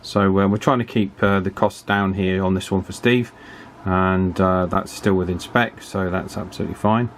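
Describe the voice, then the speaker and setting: A man giving a casual, chatty hands-on repair commentary in an unhurried way, as if thinking aloud. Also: low voice, steady tone, slight background noise. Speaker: radio repair hobbyist presenting his work.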